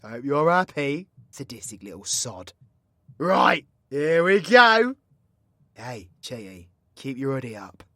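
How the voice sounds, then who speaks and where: A man's voice: medium pitch, big pitch swings, slow pace, fairly bright tone, dry recording, studio quality, exaggerated voice. Man acting a cocky British villain, wildly swooping voice. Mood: mocking, exasperated, then bossy. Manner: brash, theatrical, crude British slang. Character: cocky, foul-mouthed male warlock villain. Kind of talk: villain audio roleplay acting.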